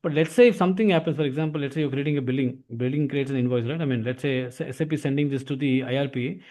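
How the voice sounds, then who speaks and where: A man's voice: medium pitch, rapid-fire, a little mellow. A man with an Indian accent giving an informal explanatory lesson as an instructor, often tagging sentences with filler words. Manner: repeats filler tags like "actually".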